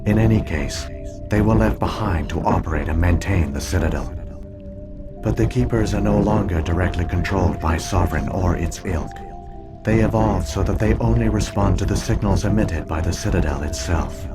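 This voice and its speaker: Ancient alien computer mind for a sci-fi game: very deep, solemn, formal, coldly logical, over prominent music. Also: male voice. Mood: calm, explanatory. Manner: measured, formal, deliberate. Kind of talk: scripted video game voice acting, exposition.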